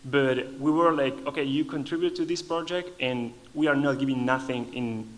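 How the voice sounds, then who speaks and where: A man's voice: mid-range voice, normal speed, poor audio. A man from Argentina with an Argentinian accent, a native Spanish speaker, giving a lightning talk at a conference and often restarting his phrases.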